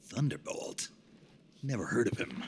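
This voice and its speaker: A man playing a gruff, stoic American special agent in a video game, with a gravelly voice and terse, serious delivery. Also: on the low side, very animated, unhurried, a little hiss or hum. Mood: firm, probing. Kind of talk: video game cutscene acting. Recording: loud music behind the voice.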